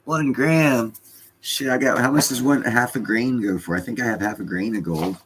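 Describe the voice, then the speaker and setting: A man's voice: mid-range voice, normal speed, a little hiss or hum, low-quality recording. A man with a slight Scottish accent hosting a casual livestream, reading chat comments and joking with loose, profane banter.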